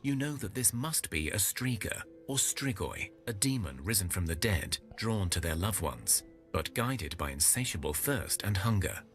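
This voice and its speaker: A man reading out dark folklore event text from a game with dramatic storytelling delivery. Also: low-pitched, animated, normal speed, few pauses, faint music behind. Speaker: game narration reader.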